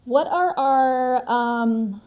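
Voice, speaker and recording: A woman's voice: high-pitched, very slow, darkish tone. A woman from the United States with an American accent, co-hosting a show in casual chat with a friend. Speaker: co-host.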